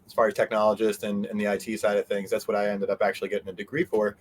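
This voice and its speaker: A man giving a casual interview as a guest, animated and meandering, often trailing off mid-sentence. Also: fairly low pitch, very fast. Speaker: interview guest, fan convention organizer. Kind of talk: casual rambling interview chat.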